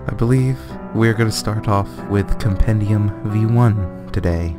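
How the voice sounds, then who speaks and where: Male let's play co-host, slow delivery, jokey, reading aloud dramatically with prominent instrumental music behind. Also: fairly low pitch. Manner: jokey, easily amused. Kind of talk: casual chat and dramatic book reading.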